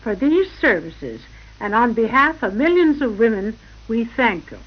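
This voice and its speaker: High-voiced woman giving formal radio address. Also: big pitch swings, measured pace. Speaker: speaker for women's organizations. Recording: muffled 1940 radio broadcast.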